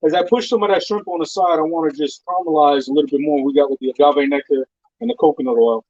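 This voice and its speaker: A man's voice: a little high, fast talker, a little mellow, no room sound. Dry, dull-sounding man narrating his cooking. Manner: informal, explaining each step as he cooks. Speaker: guest cook on a live cooking show. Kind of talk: casual cooking demonstration chat. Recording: dull lo-fi stream audio, dry.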